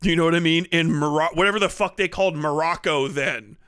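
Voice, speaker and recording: A man doing a casual, profane comedic rant on a livestream, animated and slipping into mocking impressions. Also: a little high, brisk, clear, resonant tone, no echo, clean studio sound. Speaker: streamer.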